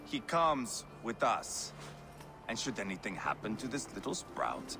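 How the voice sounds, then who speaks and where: An actor playing a bloodthirsty, mocking Viking warrior in a video game. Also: male voice, lowish voice, sing-song, a little slow, music in the background. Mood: menacing. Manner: mocking, swaggering, savage. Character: bloodthirsty, taunting Viking warrior, a grown man. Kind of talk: dramatic video game acting.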